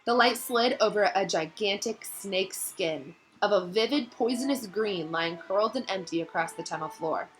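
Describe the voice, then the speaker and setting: A woman narrating a novel aloud in an expressive, dramatic storytelling style that builds suspense. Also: medium pitch, normal speed, few pauses, slight background noise, poor audio.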